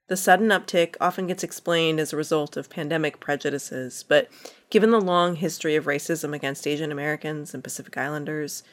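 Low-voiced woman hosting a podcast. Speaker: podcast host. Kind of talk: scripted radio introduction.

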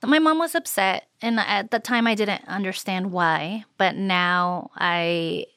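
A woman born in Mexico recalling her life in an interview, reflective and unhurried with frequent ums and uhs. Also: medium pitch, fairly bright tone, smooth, no room sound, clean studio sound. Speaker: interviewee, immigrant rights advocate. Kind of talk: recorded interview recollection.

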